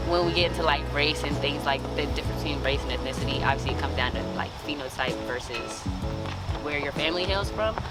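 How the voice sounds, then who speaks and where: Brief: young college-age woman, casual interview talk, filler-heavy and thoughtful, loud music under her. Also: deep voice, poor audio. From Montgomery County area, USA. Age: young adult. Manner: chatty, reflective, many fillers like "like" and "um". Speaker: university student interviewee.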